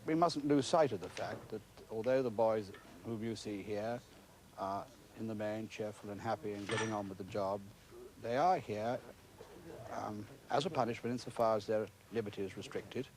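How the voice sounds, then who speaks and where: Low-voiced British school principal, formal. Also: male voice, moderate pace, darkish tone, poor audio. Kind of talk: formal interview explanation.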